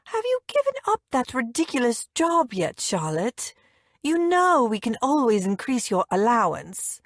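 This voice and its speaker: Woman voicing a trilling, high-pitched posh mother. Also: huge pitch swings, a little slow, no echo, studio quality, heavily acted. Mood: airy disapproval. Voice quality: trilling, crisp. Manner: theatrical, airy, cutting. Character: posh, overbearing wealthy mother, shrill and breezily insistent. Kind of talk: audiobook character dialogue.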